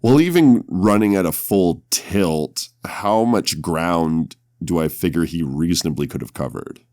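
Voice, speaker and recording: A man with a very deep, gravelly voice who hosts and plays in a tabletop horror podcast, speaking deliberately. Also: animated, darkish tone, no echo, studio quality. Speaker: podcast host and tabletop player. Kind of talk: scripted horror intro narration, then casual roleplay.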